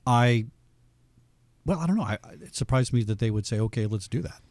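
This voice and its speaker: A man's voice: medium pitch, no room sound. A man hosting a radio talk show, interviewing a guest in casual, joking banter. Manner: joking, animated, quick banter.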